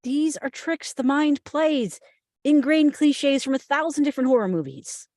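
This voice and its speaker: A woman reads the part of a rational, skeptical investigator in a TV drama. Her voice sits a little high and sounds close and dry. She argues in a matter-of-fact, impatient way. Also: expressive, moderate pace, darkish tone, no room sound. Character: skeptical, impatient, rational female FBI agent. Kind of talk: table read of a TV script.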